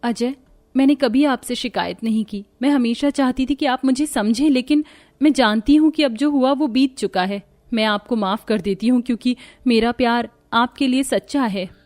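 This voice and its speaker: A woman's voice: fairly high pitch, moderate pace, a little mellow, faint music behind, close to an everyday voice. A woman playing a humble, devoted, long-suffering wife in a cartoon story, earnest and pleading in her delivery. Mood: tender, forgiving.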